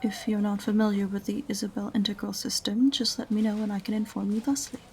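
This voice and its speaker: Woman acting a creaky, condescending witch librarian. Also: medium pitch, little pitch movement, quick pace, dark tone, slight background noise, close to an everyday voice. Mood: prim, grudging. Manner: prim, condescending, dry. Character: aloof, unenthusiastic, sarcastic young witch librarian. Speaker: voice actor in amateur audio roleplay. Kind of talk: scripted fantasy audio roleplay acting.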